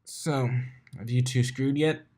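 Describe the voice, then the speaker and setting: A man's voice: medium pitch, fairly even intonation, takes it slowly, darkish tone, voice pushed up. A man puts on a laid-back female unicorn DJ from a pony fan fiction. She sounds nosy and playful, always teasing. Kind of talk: acted fan fiction dialogue.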